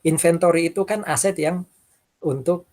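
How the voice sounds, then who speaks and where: A man with a husky voice, lecturing informally and talking through worked examples, often checking in with short tags. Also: fairly high pitch, moderate pace, darkish tone. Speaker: accounting lecturer.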